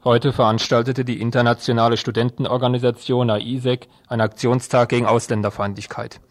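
Even-toned man presenting radio news. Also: medium pitch, fairly quick. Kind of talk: scripted news narration.

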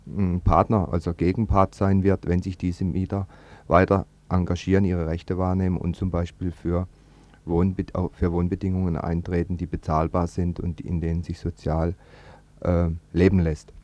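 A man's voice: low-pitched, level tone, normal speed, a little mellow. A man presenting on radio with spontaneous commentary, often rephrasing as he goes. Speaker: radio host.

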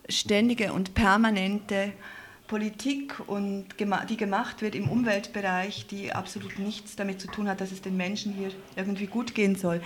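A woman's voice: mid-range voice, a little hiss or hum. A woman presenting live in a radio studio, speaking in an earnest, wordy way and often correcting herself. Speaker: radio presenter and editorial team member.

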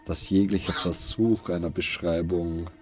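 Slow, deep-voiced male narrator. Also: steady tone, noisy background. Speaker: radio play narrator. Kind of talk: scripted literary narration of a dystopian radio play. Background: prominent music behind the voice.

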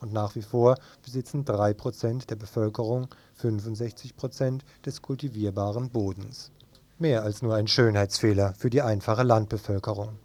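A man presenting a radio news report, reading scripted narration in a measured, factual manner. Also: lowish voice, fairly even intonation, a little mellow.

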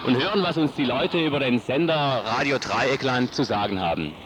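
A man's voice: medium pitch, moderate pace. A man working as a radio reporter, interviewing passers-by outdoors on a busy street. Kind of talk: vox pop interviewing. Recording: faint street noise behind voice.

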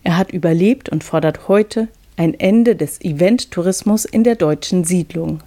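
The low, velvety woman's voice that carries a radio documentary from one interview clip to the next. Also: moderate pace, dark tone. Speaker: radio reporter and narrator. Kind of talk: scripted documentary narration.

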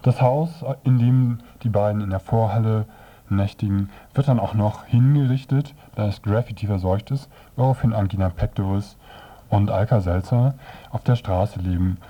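A man presenting a scripted review on the radio as narrator, with measured, halting phrasing. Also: fairly low pitch, fairly even intonation, dull audio.

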